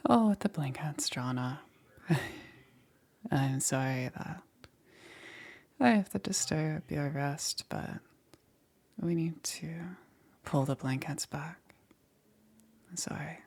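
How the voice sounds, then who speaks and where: Slow, breathy, low-voiced witch played by a woman. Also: darkish tone, exaggerated voice. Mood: soothing, apologetic. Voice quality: breathy, husky, often whispering. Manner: slow, hesitant, soothing. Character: soft-spoken witch tending a patient, gently secretive. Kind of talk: intimate ASMR roleplay.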